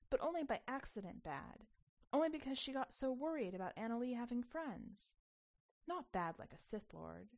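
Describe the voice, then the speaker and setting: A woman reading a short story aloud as an expressive, emphatic narrator with a sense of childlike wonder. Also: on the high side.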